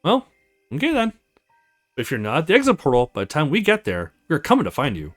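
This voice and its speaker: Man putting on a worried young woman, swooping pitch. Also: wildly swooping pitch, fairly quick, fairly bright tone, faint music behind, full character voice. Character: concerned young woman, caring companion of the hero. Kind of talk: visual novel read-aloud.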